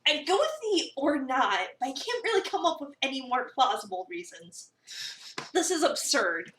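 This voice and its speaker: A woman's voice: high-pitched, very animated, moderate pace, a bit bright. A woman voicing an exasperated, sarcastic young cafe worker, narrating a visual novel with dramatic incredulity. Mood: flustered. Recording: roomy, slightly distant mic.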